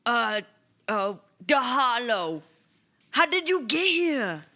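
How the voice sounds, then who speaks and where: A woman voicing a confused, excitable lizardfolk shaman in a tabletop game, with broken, hesitant speech. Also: a little high, slow, heavily acted. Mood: confused, slow.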